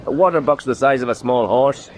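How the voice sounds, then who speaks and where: An actor playing a sceptical, plain-spoken British junior naval officer with a blunt, wry manner. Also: male voice, medium pitch, brisk, slight background noise, lightly acted. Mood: exasperated. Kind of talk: period audio drama acting.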